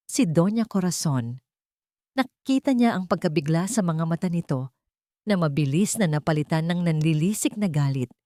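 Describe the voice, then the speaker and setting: A woman's voice: lowish voice, darkish tone, no echo, studio quality. A woman reading a scripted melodrama as a dramatic story narrator.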